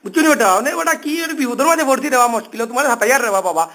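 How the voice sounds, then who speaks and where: A man's voice: extremely high pitch, animated, rapid-fire, sharp tone. A man with a Sylheti accent on a phone call, talking informally in a pleading, complaining, repetitive way. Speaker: phone caller.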